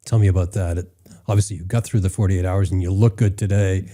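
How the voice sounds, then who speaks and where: A man's voice: deep voice, steady tone, fast talker, dark tone. A man hosting an interview, asking questions in an enthusiastic, chatty way. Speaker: podcast host.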